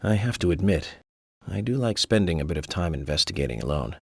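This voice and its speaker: An actor playing a cool, confident federal agent in a video game, speaking in a dry, direct way. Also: male voice, low voice, very animated, fairly quick, gravelly, a little hiss or hum, full character voice. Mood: casual. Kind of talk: video game cutscene acting.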